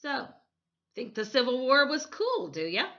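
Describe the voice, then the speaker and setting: A woman's voice: high voice, very animated, a little slow, bright, forceful tone, lo-fi sound, pitched above the performer's own voice, full character voice. A woman playing a tall, musing man who is a history expert in a children's storybook, in an inviting manner.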